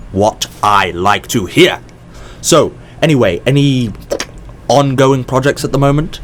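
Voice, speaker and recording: A man's voice: medium pitch, slow pace, slight background noise, lightly acted. An actor in an audio drama playing a mysterious, encouraging male company boss who is polished, effusive and probing. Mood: encouraging, eager.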